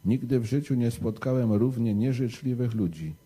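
A man's voice: medium pitch, moderate pace, mellow, husky, natural voice. A man voicing a disgruntled male newcomer from the city in a bedtime story, explaining himself in a complaining way. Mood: bitter. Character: disgruntled male stranger who moved from the city.

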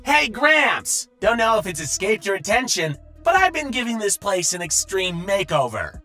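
A man voicing a brash, irritable, mocking demon triangle villain in an animated story, loud and screeching. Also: high-pitched, moderate pace, faint music behind, voice pushed up, exaggerated voice. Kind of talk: animated story narration character voice.

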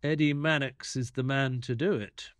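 A man playing a detective's loyal assistant who narrates an audio drama in a measured storytelling manner. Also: medium pitch, fairly even intonation, no echo, clean studio sound, lightly acted. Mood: curious.

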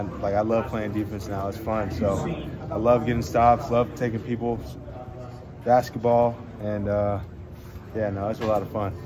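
A young man, a college basketball player, answering reporters after a game in a casual, rambling way full of fillers. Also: mid-range voice. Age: young adult. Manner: relaxed, rambling, many fillers and restarts. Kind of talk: postgame media scrum. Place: arena hallway. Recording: crowd noise in arena hallway.

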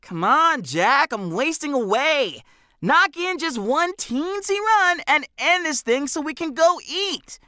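A man puts on a whiny young boy for an audiobook. The voice is pushed up high. He sounds impatient and pleading, a kid who hates waiting. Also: sing-song, takes it slowly, bright, forceful tone, no echo, studio quality, heavily acted. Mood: impatient whining. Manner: whining, pleading. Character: whiny, impatient bespectacled boy, hungry best friend.

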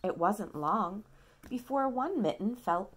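A woman in her thirties reading a picture book aloud to a toddler at home, dramatically, pausing to ask simple questions. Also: mid-range voice, animated, husky. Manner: dramatic, childlike questioning and prompting. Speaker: parent reading a bedtime story to a toddler. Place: small quiet room at home.

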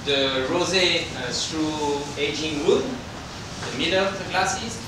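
A middle-aged man from France with a French accent, presenting a tasting to a group as a winemaker in halting, simply phrased non-native English. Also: fairly high pitch, lively intonation. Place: indoor hotel function room. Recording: roomy, distant microphone with background noise.

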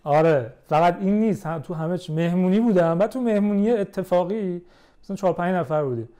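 A young man in casual conversation as a podcast guest, explaining things in a measured way. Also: high voice, warm tone.

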